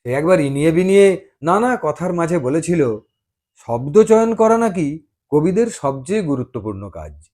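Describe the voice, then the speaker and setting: A man's voice: a little high, very animated, normal speed, darkish tone. A man narrating a love story as a dramatised audiobook reading, telling it expressively.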